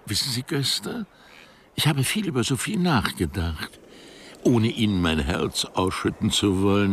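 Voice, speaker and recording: An actor playing an eccentric, precise biologist who speaks in a deliberate, philosophical way in a German radio play. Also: male voice, medium pitch, gravelly, a little hiss or hum, full character voice. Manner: deliberate, pedantic, musing.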